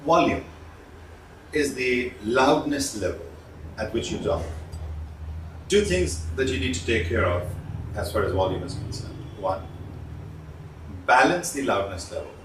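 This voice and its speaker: Roomy, distant-miked male instructor, deliberate delivery. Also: medium pitch. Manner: deliberate, emphatic, pausing between points. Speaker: communication trainer. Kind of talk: instructional lecture to viewers. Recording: roomy, distant mic, faint background noise.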